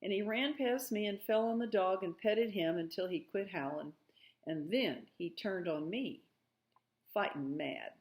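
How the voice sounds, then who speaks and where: A woman's voice: medium pitch, unhurried. Woman reading a story aloud expressively. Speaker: read-aloud narrator.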